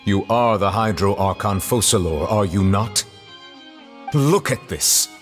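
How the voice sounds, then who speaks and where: An actor playing a stern, dignified male chief justice who questions in a formal, measured, grave way, heard as game audio over soft music. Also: lowish voice, close to an everyday voice.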